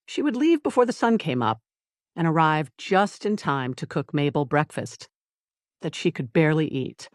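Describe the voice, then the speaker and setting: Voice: medium pitch, very animated, normal speed, no echo. Dramatic audiobook narrator, dry close sound.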